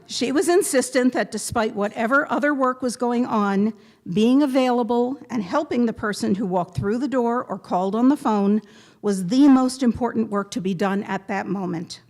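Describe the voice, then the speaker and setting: Woman w/ a highish voice reading a eulogy, measured and deliberate, pauses to land points. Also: a little mellow. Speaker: speaker giving a memorial tribute. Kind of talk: prepared eulogy read aloud to a gathering.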